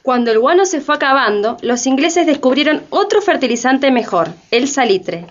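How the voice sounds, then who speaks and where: A woman's voice: high-pitched, lively intonation, normal speed, highs cut off, faint music behind. A woman reading scripted narration for a historical radio drama.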